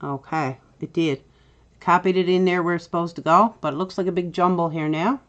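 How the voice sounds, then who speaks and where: A middle-aged woman with a Newfoundland accent, chatty and step-by-step as she hosts a software tutorial. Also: on the low side, a little fast, lo-fi sound. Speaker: tutorial host. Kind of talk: screen-recorded software tutorial.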